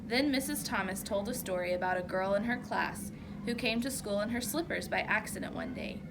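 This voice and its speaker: A woman reading a children's story aloud like a teacher, with expressive, emphatic narration. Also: mid-range voice, fairly quick, gravelly, over music.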